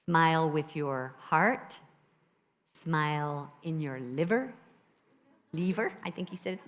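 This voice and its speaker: A woman leading a guided meditation at a church service, speaking gently and slowly repeating affirmations. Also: deep voice. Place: church sanctuary with live keyboard. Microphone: handheld or lapel mic. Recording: live service with loud music behind.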